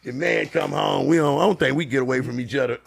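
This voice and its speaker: A man hosting a podcast, chatting casually and telling stories with lively, excited energy. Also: medium pitch, quick pace, creaky, breathy edge. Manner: animated, storytelling, hyped when excited. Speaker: podcast host.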